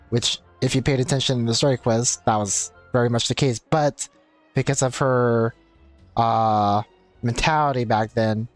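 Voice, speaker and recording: A man chatting casually on a game livestream, explaining himself and circling back over his points. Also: mid-range voice. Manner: repetitive, explanatory. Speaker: game streamer. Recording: game music behind voice.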